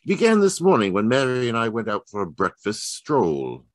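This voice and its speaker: A man's voice: medium pitch, wildly swooping pitch, moderate pace, dry recording, clean studio sound. A man playing a wry, fond detective reading aloud in an old-time radio drama. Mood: amused, measured. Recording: live video-call performance.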